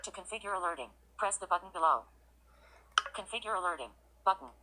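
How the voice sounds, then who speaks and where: A woman's voice: fairly low pitch, lively intonation, quick pace, pushed and bright. A synthetic woman's voice working as a screen reader, giving clipped announcements of menus and buttons. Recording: clearly noisy, with clicks and tapping.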